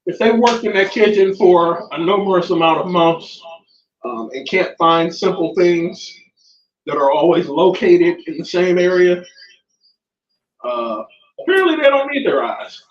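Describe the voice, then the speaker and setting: A man's voice: high-pitched, animated, a little slow. A man who is the show's chef and co-host, bluntly griping about kitchen workers. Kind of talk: casual podcast chat. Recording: muffled, roomy, distant mic.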